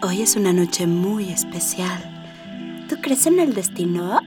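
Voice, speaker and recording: A woman's voice: fairly low pitch, lively intonation, slow, far from the mic, music in the background. A woman playing a coquettish, pouting young space princess in a radio drama. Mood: dreamy.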